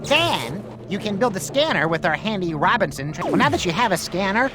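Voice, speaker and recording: Goofy artsy cousin, high and sing-song, music under it. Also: male voice, very animated, brisk, background noise. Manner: playful, rambling, whimsical. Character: eccentric artsy young man, a painter cousin. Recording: game cutscene over music.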